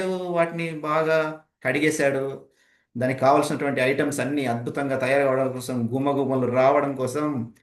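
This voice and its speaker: A male speaking trainer with a medium-pitched voice, recorded in a roomy, echoing sound. He lectures emphatically and turns to his participants with questions, in the style of an interactive class. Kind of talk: interactive online training session, explanatory lecture.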